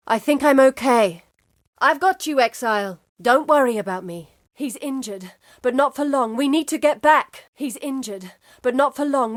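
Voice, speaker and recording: A woman's voice: high voice, dry recording, clean studio sound, close to an everyday voice. An actress playing an earnest, determined woman guide in a video game, with a grave, articulate delivery. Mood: urgent, alarmed. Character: earnest, determined woman guide searching for her lost father. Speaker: game character voice. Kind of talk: dramatic video game voice acting.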